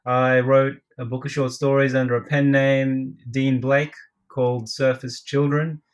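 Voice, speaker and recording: A man's voice: mid-range voice, little pitch movement, a little slow. Australian male co-host, wry self-mocking humor, loose podcast banter over a call. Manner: self-deprecating, jokey. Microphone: remote call.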